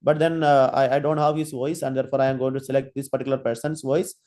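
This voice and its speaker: A man giving an online training lecture, halting, with many fillers and restarts while he demonstrates. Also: medium pitch, level tone, warm tone. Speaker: guest lecturer.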